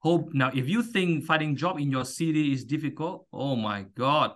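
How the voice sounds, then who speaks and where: Bright, forceful male coaching host. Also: fairly high pitch, normal speed. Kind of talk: interview hosting, coaching talk. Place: indoors, on a video call. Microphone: video call.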